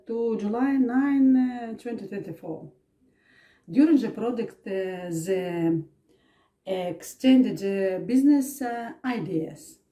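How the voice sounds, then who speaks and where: A woman speaks slowly and haltingly, pausing often on fillers. Her voice is mellow. The recording is roomy and echoey. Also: medium pitch. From Turkmenistan. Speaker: project participant. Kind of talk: video testimonial. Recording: echoey room.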